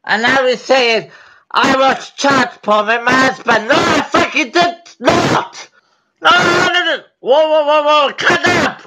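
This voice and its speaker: Screaming, swearing high-voiced man. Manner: shouts and swears furiously, near tears. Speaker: call recipient. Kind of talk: angry phone rant. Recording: loud background noise.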